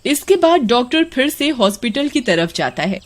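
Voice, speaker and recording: A woman's voice: a little high, very animated, normal speed. A woman reading scripted story narration as a storyteller. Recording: music behind the narration.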